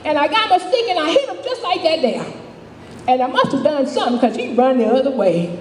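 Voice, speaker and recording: Woman acting a high, swooping folksy street woman. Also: sing-song, fairly quick, a little mellow, far from the mic. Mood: animated, amused. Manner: folksy, rambling, chuckling, repetitive, dramatic. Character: feisty, slightly crazy older street woman, storytelling, toothless. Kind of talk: live dramatic monologue, folksy storytelling. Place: live stage in a reverberant room. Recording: echoey hall from afar, audience noise.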